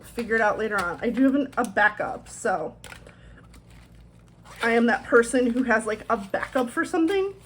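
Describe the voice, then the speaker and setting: A woman's voice: on the high side, lively intonation, normal speed, a bit bright, slight background noise. A woman chatting casually as she decorates a planner, her voice a little thick from swollen tonsils, rambling in self-deprecating asides. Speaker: planner vlogger.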